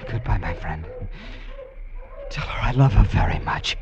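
A man's voice: deep voice, lively intonation, measured pace. An actor playing a frightened, despairing male convict in a radio drama, speaking in an agitated, pleading way. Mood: resigned. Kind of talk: 1940s-50s radio drama acting. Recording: dull, noisy old radio recording.

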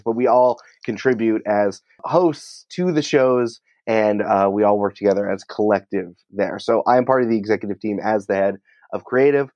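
A man's voice: fairly low pitch, a little mellow, no room sound. A man talking casually and at length as a podcast interview guest. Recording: dry, slightly dull sound.

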